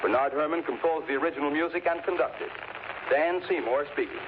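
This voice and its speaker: A man announcing formally in 1930s radio style, reading out with crisp enunciation. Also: fairly high pitch, brisk. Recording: tinny, noisy old radio broadcast.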